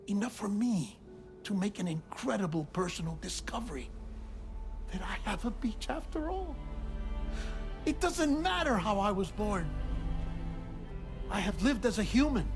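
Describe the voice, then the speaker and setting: An actor playing a sincere, wistful dying doctor and scientist in a video game, speaking in halting, theatrical phrases. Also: male voice, high voice. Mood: earnest, stirred, then impassioned, defiant. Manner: halting, earnest, theatrical delivery in short broken phrases. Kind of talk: dramatic video game monologue acting.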